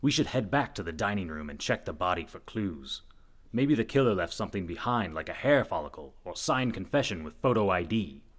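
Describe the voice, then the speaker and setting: A man's voice: low-pitched, animated, fairly quick. A man playing an earnest, overconfident and slightly dim reporter detective in a comic radio mystery, delivered dramatically. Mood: confident. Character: earnest, dim, self-assured young male reporter detective. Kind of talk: comedic old-time radio mystery acting.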